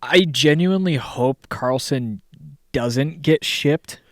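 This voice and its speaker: A man's voice: on the high side, takes it slowly, no room sound, studio quality. Blunt, swearing young man. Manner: blunt and profane. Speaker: podcast co-host. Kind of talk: casual sports chat.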